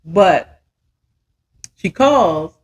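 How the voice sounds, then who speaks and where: A woman's voice: lowish voice, lively intonation, drawn-out words, darkish tone, dull audio. A woman in her fifties telling a story casually as a podcast host, chatty and emphatic, often checking in with the listener. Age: 50s.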